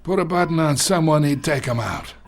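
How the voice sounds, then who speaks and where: An actor playing an old wounded mob boss, sly and smug, with a gravelly, pained voice and streetwise drawl. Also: male voice, on the high side. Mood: grave, taunting. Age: older. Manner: slow, drawling, streetwise gangster talk.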